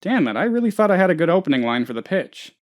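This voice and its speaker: An actor playing a naive, anxious, scatterbrained office boss who rambles and gets sidetracked, in a video game. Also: male voice, sits high, very animated, quick pace. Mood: pleading, eager. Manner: rambling, absent-minded, easily sidetracked. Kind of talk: video game character voice lines.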